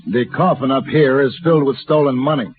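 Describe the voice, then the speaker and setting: An actor playing a suave, self-assured gunfighter for hire in an old western radio drama, speaking in a formal, polished, deliberate way. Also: male voice, medium pitch, steady tone, faint music behind, natural voice. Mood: confident, commanding. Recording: old radio broadcast, narrow band, noisy.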